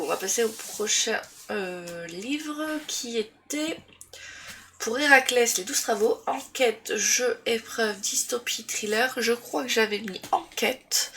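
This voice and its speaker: A woman's voice: a little high, takes it slowly, a bit bright, a little hiss or hum. A woman with a cold and a sore throat, chatting casually about books in a vlog, rambling with lots of fillers and restarts. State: congested with a cold. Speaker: booktuber. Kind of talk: casual book review vlog.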